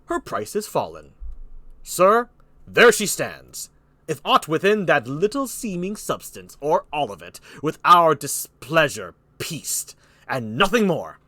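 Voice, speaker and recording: A man's voice: a little high, bright, forceful tone, dry recording, exaggerated voice. Man playing a commanding, bitter old king. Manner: slow, emphatic declamation with pauses.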